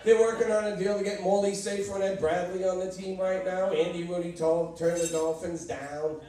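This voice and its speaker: A man's voice: high voice, level tone, moderate pace, mellow. A man from Boston with a Boston accent doing a stand-up comedy set, telling brash, punchy stories and bantering with the audience. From Boston, USA. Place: comedy club stage. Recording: echoey, distant club recording. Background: crowd laughter and noise.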